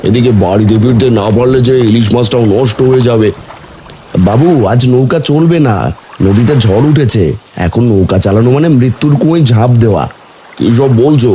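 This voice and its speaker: A man playing a kindly, humble village man in an animated cartoon story. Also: medium pitch, slight background noise, close to an everyday voice. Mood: worried. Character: kindly humble villagers: poor boatman and lonely widower. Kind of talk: animated story character dialogue.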